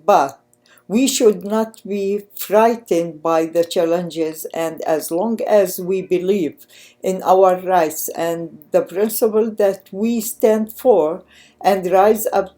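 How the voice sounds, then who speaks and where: An older Palestinian woman reads out a political speech in Arabic-accented English. Her voice is somewhat low and mellow, and she moves slowly through the text. The phrasing is deliberate and a little halting, as of someone reading in a second language. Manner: deliberate, halting phrasing with stumbles over words. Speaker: activist speaker. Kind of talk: prepared political speech, read aloud.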